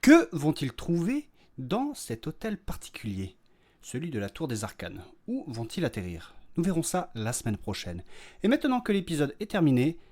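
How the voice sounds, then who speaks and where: A man's voice: fairly high pitch, huge pitch swings, normal speed, a little mellow. A man narrating the outro of an audio fiction series in a theatrical style.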